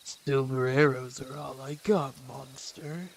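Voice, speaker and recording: Cruel hunter, acted by a man, slow sneering menace, crickets and night ambience behind. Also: mid-range voice, fairly even intonation, vocal fry. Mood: cold, menacing. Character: cruel, foul-mouthed male werewolf hunter and archer. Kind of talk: audio roleplay acting. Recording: noisy outdoor ambience with chirping crickets.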